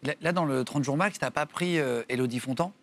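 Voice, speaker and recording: A man hosting a casual interview, quick and eager with his questions. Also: mid-range voice. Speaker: show host.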